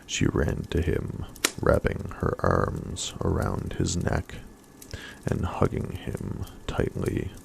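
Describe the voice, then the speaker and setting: A man reading a story aloud as soft-spoken ASMR, in a breathy, hushed voice that often drops to a whisper. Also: extremely low pitch, big pitch swings, slow, a little hiss or hum.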